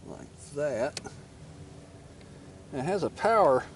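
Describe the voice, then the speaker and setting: A man's voice: on the high side, lively intonation, slow. A man giving a casual, plain-spoken, step-by-step tutorial while he works with his hands. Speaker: motorcycle vlogger demonstrating gear installation. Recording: clear ambient and vehicle noise.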